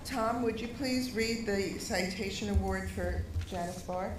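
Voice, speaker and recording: A woman's voice: medium pitch, unhurried. A woman formally presenting an award at a ceremony as a university president. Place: large ceremony hall. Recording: very echoey hall, distant mic, faint noise.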